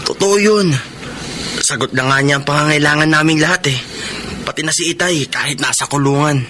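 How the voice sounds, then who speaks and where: High-voiced brash young Filipino man. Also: a little fast, sharp tone. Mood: cocky, carefree. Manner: cocky, emphatic. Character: brash young brother, gambling tricycle driver, hot-tempered. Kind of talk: Filipino radio drama acting. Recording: noisy radio drama audio.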